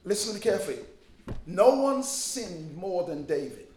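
Liberian-born pastor, high-pitched, emphatic and humorous sermon delivery, roomy hall sound. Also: male voice, big pitch swings, normal speed, darkish tone. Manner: emphatic preaching with humor and repetition.

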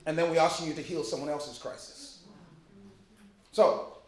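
A man's voice: on the high side, moderate pace, roomy sound. A man from Liberia preaching a sermon as a pastor, emphatic and joking, with repeated phrases. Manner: emphatic preaching with humor and repetition.

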